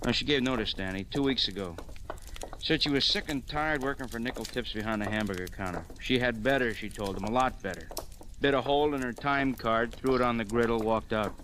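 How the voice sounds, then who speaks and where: An actor playing a brisk, wry police sergeant in an old radio crime drama, reporting in clipped slang. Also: male voice, medium pitch, a bit bright, natural voice. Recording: noisy vintage radio recording.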